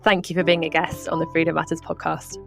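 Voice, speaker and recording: A woman's voice: deep voice, little pitch movement, quick pace, creaky, fairly bright tone, soft background music. A woman hosting an interview podcast, speaking to her guest and listeners. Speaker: podcast host.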